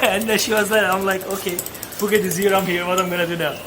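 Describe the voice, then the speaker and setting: A man in his thirties with a Turkish accent and a notably high voice speaks as a podcast guest. He tells his story candidly but haltingly, with frequent restarts. A noisy bar hums loudly behind him. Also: steady tone. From Turkey. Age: 30s. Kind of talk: casual interview chat. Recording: noisy ambient background.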